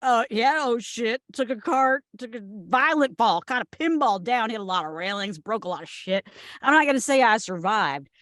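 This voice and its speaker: A woman playing an odd, blunt tomboy in her thirties with a deadpan, clipped manner, in a comedy table read. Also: on the high side, moderate pace, dry recording, studio quality. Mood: deadpan, tough.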